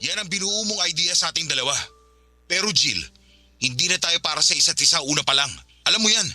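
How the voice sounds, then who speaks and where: A man's voice: fairly high pitch, animated, fast talker, bright, forceful tone, no room sound, faint music behind. An actor playing a firm, exasperated young father in a radio drama, curtly refusing his former partner. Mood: frustrated. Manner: curt, firm.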